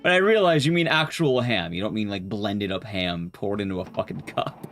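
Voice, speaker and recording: A man's voice: fairly low pitch, expressive, moderate pace, a bit bright, soft background music. A man livestreaming a game and chatting casually with viewers, rambling and restarting his sentences as he goes. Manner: rambling, often restarting sentences mid-thought.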